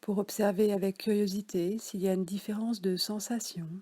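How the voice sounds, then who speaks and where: A French woman guiding a yoga nidra relaxation session, speaking softly with some words whispered. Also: medium pitch, fairly even intonation. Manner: slow, soft, partly whispered guidance. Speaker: relaxation guide.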